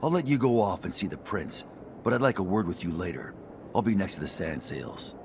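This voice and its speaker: An actor playing a calm, guarded, secretive man in a video game, measured and matter-of-fact. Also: deep voice, exaggerated voice. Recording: game dialogue over clear ambient noise.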